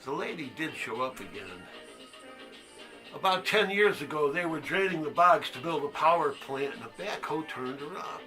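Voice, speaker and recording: A man's voice: on the high side, animated, moderate pace, pushed and bright, faint music behind. An actor playing a crude, haunted man who halts and confides as he tells a story in a stage monologue. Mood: confiding, intense. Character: crude, haunted man recounting a boyhood secret. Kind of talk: one-act monologue, storytelling.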